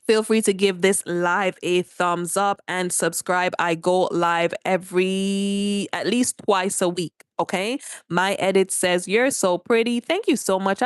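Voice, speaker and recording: A woman's voice: medium pitch, smooth, no room sound, clean studio sound. A woman with a Jamaican accent hosting a casual live stream from her phone, chatting and greeting viewers by name as she reads their comments aloud. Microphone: phone held for a vertical live stream.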